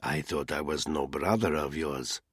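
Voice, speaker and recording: A male narrator voicing a menacing middle-aged cartel boss who talks in a cold, hoarse near-whisper. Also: extremely low pitch, a little slow, no room sound, clean studio sound, pitched below the performer's own voice, heavily acted. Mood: cold menace. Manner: cold, quiet, deadpan threats.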